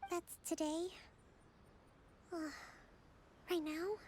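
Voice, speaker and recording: A woman playing a gentle, composed and quietly weary young priestess leader in an anime game, polite and measured. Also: very high-pitched, flat delivery, a little hiss or hum, exaggerated voice. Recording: game music behind voice.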